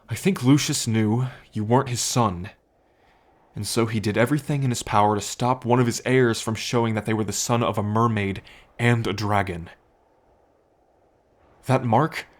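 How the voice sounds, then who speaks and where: A man voicing a solemn dragon of royal blood, an older half-brother who explains things in a measured way in an ASMR story roleplay. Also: lowish voice, pitched below the performer's own voice. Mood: grim, indignant.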